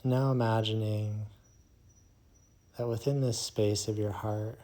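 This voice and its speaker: Slow, low, mellow male meditation guide. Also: steady tone. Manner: slow, soothing, hushed delivery with long pauses.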